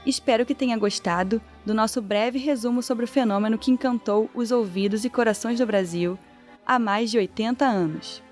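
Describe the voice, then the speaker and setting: Woman presenting in a measured read. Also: fairly high pitch, a little mellow, soft background music. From Brazil. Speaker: podcast presenter. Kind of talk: scripted narration.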